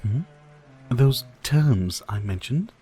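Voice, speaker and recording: Guy doing a seductive vampire for asmr roleplay, slow swooping delivery, polite but mocking, music behind. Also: medium pitch, lively intonation, dark tone. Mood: playful, menacing. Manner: courtly, mocking, seductive, with drawn-out pauses. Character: suave sadistic male vampire host toying with his prey. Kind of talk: intimate roleplay ASMR acting.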